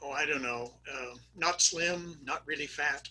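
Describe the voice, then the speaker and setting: A man's voice: fairly high pitch, a little slow, pushed and bright, full character voice, poor audio. A man playing a flustered middle-aged husband who hems and haws about his missing wife, read over a video call for a readers theater skit. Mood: vague. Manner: hesitant and vague about his wife, then eager and detailed about his truck.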